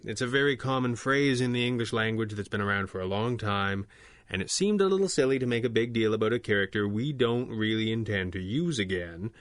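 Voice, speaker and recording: Dry, deadpan man reading a disclaimer. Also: on the low side, fairly quick, no echo. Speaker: show's writer giving a disclaimer. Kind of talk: scripted narration, tongue-in-cheek disclaimer.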